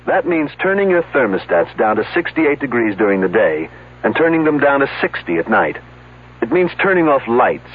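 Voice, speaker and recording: Man, public service spot, husky, measured emphasis, expressive pitch movement, vintage radio band. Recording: muffled, narrow old radio broadcast.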